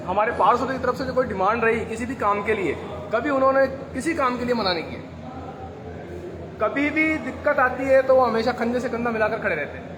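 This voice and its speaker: High-voiced councillor at a press conference. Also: male voice. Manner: formal, measured speech with pauses. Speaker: ward councillor. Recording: crowd noise behind.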